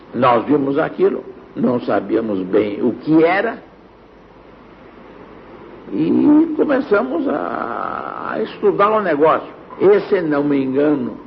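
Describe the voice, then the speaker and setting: An older Brazilian man reminiscing in a halting, deliberate way, pausing mid-phrase. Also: medium pitch, gravelly. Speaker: interviewee, radio pioneer. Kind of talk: spoken reminiscence. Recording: dull archival interview with background noise.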